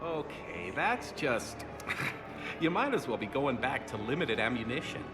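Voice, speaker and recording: Give me an overeager, awkward fan from a video game who rambles nervously. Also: male voice, mid-range voice, sing-song, measured pace, over music. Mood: flustered. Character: eager awkward human fan man, rambling. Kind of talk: video game cutscene voice acting.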